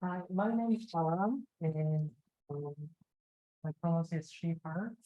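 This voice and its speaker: Slow, hesitant woman, slightly muffled. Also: lowish voice, dark tone. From Vancouver, Canada. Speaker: researcher. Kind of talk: casual self-introduction.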